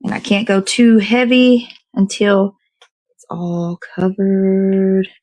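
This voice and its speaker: A woman's voice: mid-range voice, flat delivery, slow pace, darkish tone, rough recording. A woman hosting a casual hands-on cake decorating demo, talking to viewers and half to herself in short fragments as she works. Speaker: show host.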